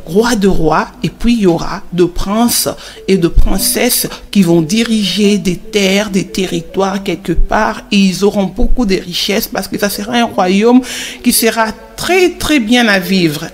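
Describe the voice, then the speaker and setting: A woman's voice: medium pitch, unhurried, close to an everyday voice. Encouraging mother teaching, a woman acting, over music. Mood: earnest, explaining. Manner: didactic, encouraging, repeats phrases for emphasis. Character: gentle, devout queen mother teaching her children. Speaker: mother teaching children about the Bible. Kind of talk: religious children's cartoon dialogue.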